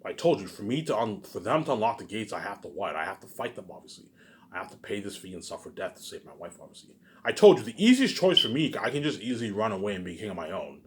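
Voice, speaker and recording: Fast-talking, boastful low-voiced man. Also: sing-song, a little hiss or hum. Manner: repetitive, keeps adding "obviously"; profane and boastful. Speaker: self-published writer vlogger. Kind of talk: rambling solo rant to viewers.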